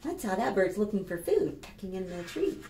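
A woman in her fifties reads a picture book aloud to preschoolers, expressive and instructive, addressing the children directly. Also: medium pitch, brisk. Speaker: children's storytime librarian. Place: small plain room indoors. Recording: roomy echo, faint background noise.

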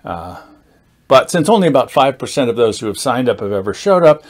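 A man in his sixties giving an informal explanatory talk to camera as a group host, measured and halting, with deliberate emphasis. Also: mid-range voice, big pitch swings. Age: 60s. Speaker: meetup founder and host, teacher. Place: indoors, small studio with dark curtain backdrop.

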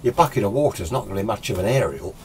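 Older British man, low voice, chatty explainer. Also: lively intonation, very fast, a little mellow, slight background noise. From United Kingdom. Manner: opinionated, chatty, rambling. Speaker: amateur radio hobbyist presenting a tutorial. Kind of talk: informal off-the-cuff explainer. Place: small indoor radio workshop.

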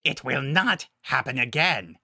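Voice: medium pitch, expressive, measured pace, creaky, sharp tone, slightly rough, no room sound, clean studio sound, heavily acted. A narrator putting on a fierce, barking werewolf alpha. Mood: menacing. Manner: barking, menacing, blustering. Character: fierce proud male werewolf pack alpha. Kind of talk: audiobook-style fantasy story character.

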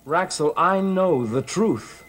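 A man's voice: fairly high pitch, expressive, slow pace, a bit bright. An actor playing a determined young man in a gothic soap opera, probing in a firm, terse, grave way. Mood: grimly insistent. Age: young adult.

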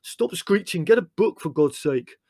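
A man's voice: sits high, lively intonation, normal speed, a little mellow, dry recording, studio quality, voice pushed up. A man voicing a combative, impatient young man who roars out orders in a storybook.

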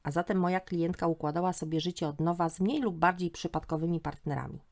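A woman's voice: fairly low pitch, fast talker, a little mellow. A woman hosting a story podcast, reading humorous first-person narration in a wry, sardonic manner.

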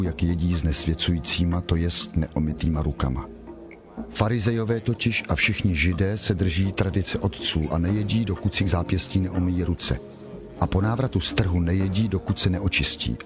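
Deep-voiced solemn male narrator. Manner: solemn, measured. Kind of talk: scripted scripture narration. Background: music.

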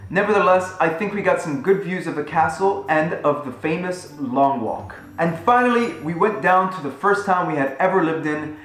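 Upbeat travel vlogger, roomy echo. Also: male voice, on the high side, expressive, normal speed, few pauses, fairly bright tone, faint music behind. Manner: upbeat and conversational. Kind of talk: casual travel narration. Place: living room at home, indoors.

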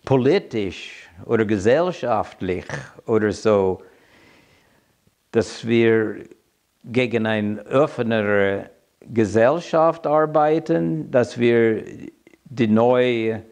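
A man in his seventies with an American accent and English as his first language, giving a reflective personal account and haltingly searching for words. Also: medium pitch, darkish tone. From United States. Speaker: interviewee telling his migration story. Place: quiet room indoors.

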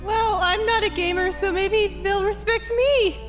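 A woman's voice: very high voice, fairly even intonation, a little slow. A woman voicing a naive, sad, earnest young male cartoon hedgehog in a comedy fan dub, whiny and hesitant. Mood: glum, awkward. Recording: loud music behind.

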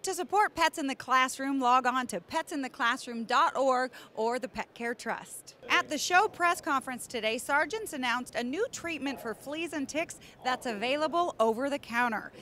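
A woman in her thirties hosting a trade show news report with crisp, upbeat broadcast delivery, speaking into a handheld mic on a convention floor. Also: high voice, normal speed, few pauses, sharp tone. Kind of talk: scripted news presenting.

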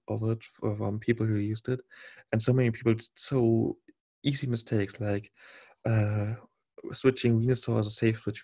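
A man from Germany with a German accent, speaking as a podcast guest in casual, halting talk with frequent chuckles. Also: fairly low pitch, gravelly, warm tone. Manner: halting, restarts words, chuckles often. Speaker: podcast guest, competitive game player.

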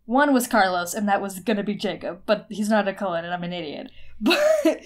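A woman's voice: mid-range voice, sing-song, brisk. A woman co-hosting a podcast in casual chat, swearing, mocking herself and often breaking into laughter. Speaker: podcast co-host.

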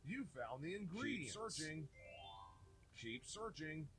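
A man's voice: a little high, sing-song, a little slow, fairly bright tone. A man narrating a children's game from a script, giving simple instructions for young kids.

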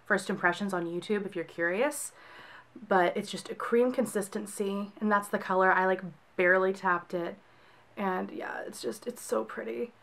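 Young Texan woman vlogger, hoarse from a cold; casual, rambling chat to camera. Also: medium pitch, moderate pace, a bit bright. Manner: chatty, rambling, with frequent laughs. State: soft, scratchy voice from a cold. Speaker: book and beauty vlogger. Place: small room in front of bookshelves, indoors.

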